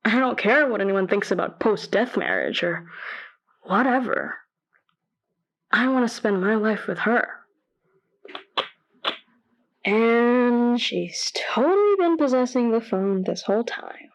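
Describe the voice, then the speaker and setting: A lovestruck caller in a radio play, highish voice, takes her time, fond and teasing. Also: big pitch swings, unhurried. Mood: earnest, defiant. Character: devoted, affectionate, teasing woman in love with a ghost. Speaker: caller to a radio advice show. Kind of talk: audio drama acting.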